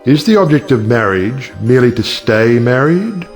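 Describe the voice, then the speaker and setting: An actor playing a witty, cynical middle-aged uncle and judge who lectures rhetorically in an audiobook stage play. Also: male voice, mid-range voice, animated, normal speed, faint music behind, lightly acted. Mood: earnest, argumentative. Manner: rhetorical, sardonic, declamatory.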